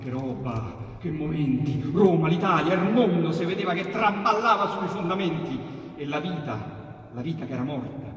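A man with a Roman accent recites dialect verse as a theatrical storyteller, with dramatic pauses and emphatic repetitions. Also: on the high side, lively intonation. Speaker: actor reciting dialect poetry. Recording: echoey hall, distant mic, piano accompaniment. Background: piano music.

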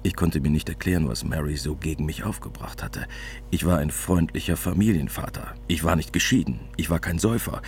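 War veteran writer, very deep voice, reflective narrator, wry, understated, radio drama, slight background hum. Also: male voice, brisk. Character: war veteran novelist, wry reflective family man narrating his past. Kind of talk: literary first-person radio drama narration.

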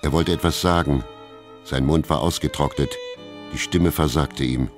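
An older man narrating a radio play in a measured, literary storytelling style. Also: a bass voice. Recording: studio narration with faint music.

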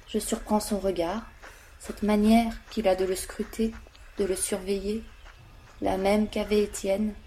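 A woman's voice: mid-range voice, warm tone, a little hiss or hum, close to an everyday voice. An actress playing an anguished, grieving young village woman full of hatred, narrating her thoughts in a French audio drama. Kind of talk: French audio fiction monologue.

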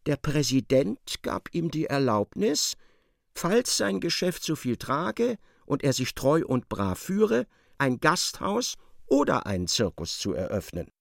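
A man giving expressive literary narration in a radio drama, as a storyteller. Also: medium pitch, a little slow, vocal fry, no echo, studio quality.

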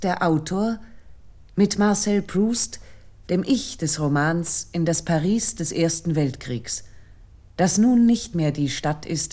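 A woman with a notably deep, mellow voice delivers a prepared literary talk. Her phrasing is formal and measured, like an essay read aloud by a commentator. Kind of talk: scripted literary lecture.

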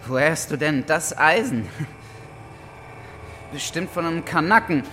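A man's voice: a little high, expressive, measured pace, pushed and bright, a little hiss or hum. A man acting a cocky, sneering thug in an audio drama, mocking and provocative as he talks. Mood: mocking, contemptuous. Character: sneering, taunting far-right thug, contemptuous and cocky.

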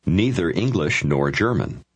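A man's voice: low voice, very animated, unhurried, husky. A man narrating a language lesson in scripted form, explaining phrases and giving prompts.

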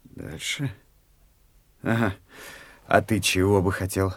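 A deep, gravelly doctor. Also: male voice, fairly even intonation, slow, dry recording, heavily acted. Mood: guarded. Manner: terse, guarded, low-key. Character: calm, guarded middle-aged male doctor. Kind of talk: studio radio play acting.

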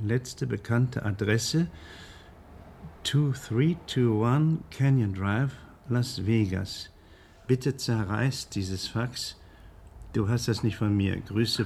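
An actor playing a formal, theatrical man who speaks deliberately in a radio crime drama. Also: lowish voice, creaky, darkish tone, slight background noise. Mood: reading aloud. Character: courtly, theatrical male actor playing detective.

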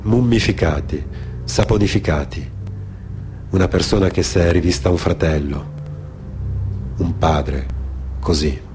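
A man's voice: low-pitched, a little slow, long pauses. Man storytelling over prominent music. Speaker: theatre storyteller. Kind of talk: dramatic monologue narration.

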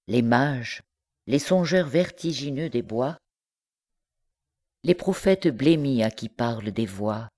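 A woman reciting literary poetry in measured, deliberate phrases broken by pauses. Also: extremely low pitch, warm tone. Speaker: poetry reader.

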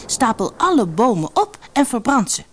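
A woman's voice: on the high side, sing-song, moderate pace, slight background noise, pitched above the performer's own voice. Woman voicing an eager, commanding young boy. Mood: commanding, incantatory. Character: young village boy, hopeful, commanding a magic ring. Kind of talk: storybook character voice.

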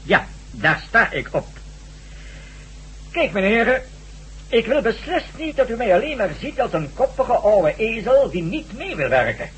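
An actor playing an evasive, stubborn president in a radio drama, speaking in a hesitant, pompous way and repeating himself. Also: male voice, high-pitched, sing-song, a little slow, fairly bright tone, exaggerated voice. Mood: defensive, indignant. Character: evasive, fussy, stubborn head of state. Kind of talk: Dutch radio drama acting. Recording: slightly noisy old radio recording.

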